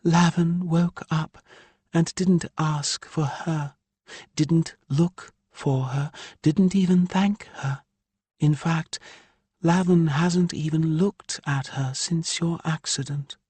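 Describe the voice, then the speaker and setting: A man's voice: on the high side, steady tone, a little mellow, breathy edge, dry recording, pitched above the performer's own voice. A man voicing an irritated, exasperated mother in an audiobook, with an edgy, emphatic delivery. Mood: edgy, resentful. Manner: edgy, drawn-out emphatic speech. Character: irritated, exasperated wife and mother, female healer.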